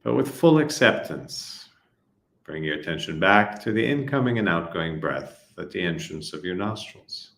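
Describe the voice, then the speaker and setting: A man calmly leading a guided breathing meditation, giving soft-spoken instructions with long silences between them. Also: low-pitched, normal speed, vocal fry, echoey room.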